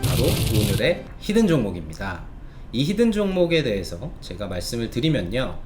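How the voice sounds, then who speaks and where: A man presenting stock market commentary with a sales pitch, polite and explanatory, stretching key words for emphasis. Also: sing-song, normal speed, over music.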